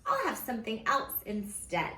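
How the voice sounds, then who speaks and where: Animated woman reading a silly children's storybook. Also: fairly high pitch, normal speed. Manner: silly, theatrical, expressive. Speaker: teacher reading story time. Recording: roomy echo with faint background noise.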